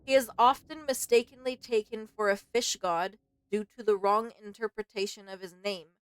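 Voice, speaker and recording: A woman's voice: mid-range voice, fairly even intonation, moderate pace, clear, resonant tone, dry recording, rough recording. A woman streamer doing let's play commentary and reading game text aloud.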